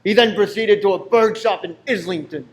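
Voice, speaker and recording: A man acting a brisk, businesslike senior detective in a radio play. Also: sits high, natural voice. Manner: businesslike, matter-of-fact.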